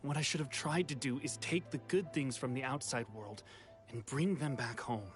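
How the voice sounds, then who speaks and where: An actor playing a regretful young runaway who has returned home, speaking sincerely in anime game style. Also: male voice, mid-range voice, moderate pace, lightly acted. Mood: wistful, regretful. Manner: wistful, sincere. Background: background music.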